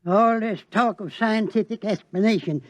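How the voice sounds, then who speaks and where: A man's voice: sits high, moderate pace, dry recording, close to an everyday voice. An actor playing a zealous male cult believer in a 1950s radio drama, talking in a rapturous, stammering way.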